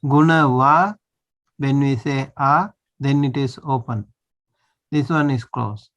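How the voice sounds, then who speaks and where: A man's voice: mid-range voice, takes it slowly, a bit bright, lo-fi sound. A man, a monk, teaching a language class over a video call, patiently checking that students follow. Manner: patient, checks understanding often.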